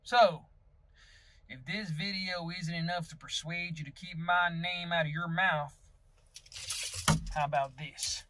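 A man's voice: a little high, a little slow, pauses often, pushed and bright, slight background noise. A man playing a folksy, vain, aggrieved Southern US congressional candidate in a mock campaign video, with a pompous theatrical drawl. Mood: righteous, defiant. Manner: theatrical drawl, pompous, dropping into breathy seductive asides. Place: inside a parked car.

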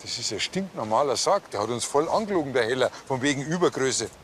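A man's voice: medium pitch, expressive, fast, slight background noise, natural voice. An actor playing an indignant, blunt policeman in a TV crime comedy, speaking colloquially.

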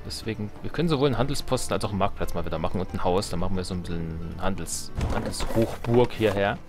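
Low-voiced man commentating a game. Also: fast, music in the background. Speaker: let's player. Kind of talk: casual off-the-cuff gameplay commentary.

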